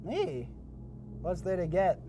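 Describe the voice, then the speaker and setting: A woman's voice: on the low side, huge pitch swings, slow, background noise, heavily acted. A woman playing a mocking inner critic in a surreal audio drama, taunting and theatrical, drawing out her words. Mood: coy. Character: mocking inner critic spirit of self-doubt, needy and theatrical.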